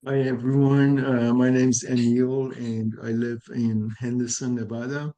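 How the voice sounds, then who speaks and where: A middle-aged man from Iran introducing himself on a video-call panel, pausing with fillers between words. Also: mid-range voice, little pitch movement, mellow, muffled recording. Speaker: panel guest.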